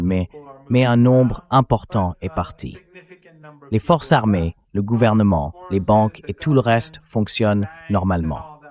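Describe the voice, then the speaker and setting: A man's voice: lowish voice, darkish tone. A man speaking as a professor guest, explaining in a firm, emphatic way. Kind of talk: dubbed interview commentary. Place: in Tehran.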